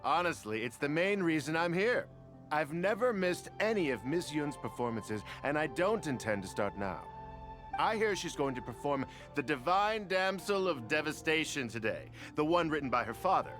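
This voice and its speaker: Devoted fan townsman, higher-pitched, animated intonation, chatty, eager, enthusiastic, game acting. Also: normal speed. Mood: excited anticipation. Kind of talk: scripted video game character acting. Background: music.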